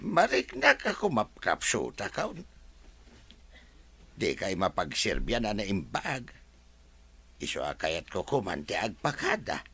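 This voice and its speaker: An actor playing an older male household servant in a radio drama, humble and halting, speaking deferentially to his employer. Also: fairly low pitch, huge pitch swings, gravelly, pushed and bright, husky, full character voice. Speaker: servant character.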